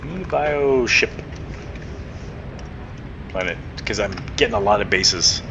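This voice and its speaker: A man's voice: on the low side, lively intonation, slow, muffled recording, background noise. A man giving casual, rambling commentary while he plays a game, often trailing off mid-thought. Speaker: game streamer. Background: loud game music.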